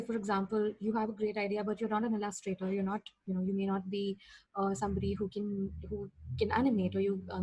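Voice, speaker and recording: A woman's voice: mid-range voice, slightly rough, low-quality recording. A woman with an Indian accent, talking casually as a guest on a podcast. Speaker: podcast guest, fiction podcast creator. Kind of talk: casual chat.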